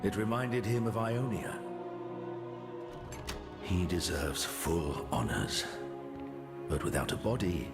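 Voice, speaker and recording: Gravelly, grieving king. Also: deep voice, takes it slowly, pauses often. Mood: wistful, grieving. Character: grieving, dignified king mourning an old friend. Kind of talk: scripted motion comic drama. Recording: loud music under the voice. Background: loud music.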